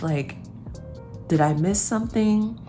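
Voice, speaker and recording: A woman in her thirties, a parent talking casually to camera while getting over a cold, sounding congested and thinking out loud with many pauses. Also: mid-range voice, faint music behind. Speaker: vlogger, parent.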